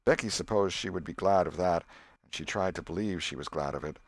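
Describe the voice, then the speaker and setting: A man narrates a story from a script. His voice sits low, and he reads expressively as an audiobook storyteller. The sound is dry and close, with no room echo. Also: fairly quick.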